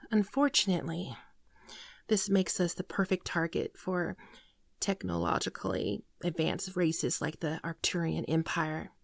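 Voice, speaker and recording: A gentle shapeshifter alien woman, played by an actress, confesses her sorrows in an ASMR roleplay. Her soft voice often thins toward a whisper and crackles with vocal fry. She speaks in an earnest, halting way, in a very dry recording. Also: low-pitched, lively intonation, no echo, exaggerated voice. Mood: sorrowful, bitter. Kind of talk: ASMR roleplay monologue, scripted.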